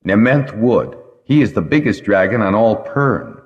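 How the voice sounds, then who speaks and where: A man's voice: lowish voice, very animated, measured pace, in a large hall, lo-fi sound, pitched above the performer's own voice. A man voicing a blunt, grumpy but loyal male dragon in an audiobook story. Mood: insistent. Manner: blunt, displeased.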